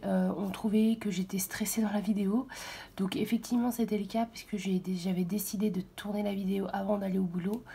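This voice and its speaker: Woman, hoarse, mellow warm voice, soft near-whisper, hesitant, at home. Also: mid-range voice. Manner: soft, half-whispered, hesitant with many fillers. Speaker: budget vlogger. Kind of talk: casual budget walkthrough. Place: at home, early morning.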